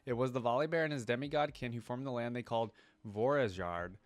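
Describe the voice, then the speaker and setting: A man with a medium-pitched voice hosts a casual reaction video. He moves between reading text aloud and informal commentary, often thinking out loud and putting questions to himself. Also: fairly quick.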